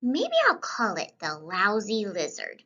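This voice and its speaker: Woman playing a young student storybook narrator, wry, playful, bright and forceful, slightly dull recording. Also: mid-range voice, unhurried, heavily acted. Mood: playful, chatty. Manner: animated, wry, playful asides. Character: whimsical, wisecracking young student painter narrating an adventure. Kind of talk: scripted children's read-aloud.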